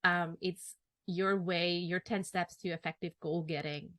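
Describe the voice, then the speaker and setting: A woman's voice: fairly low pitch, fairly even intonation, measured pace, dry recording, studio quality. A woman hosting a podcast interview, affirming her guest often and chatting casually. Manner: warm affirming, frequent "mm-hmm" and "I love that". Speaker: podcast host.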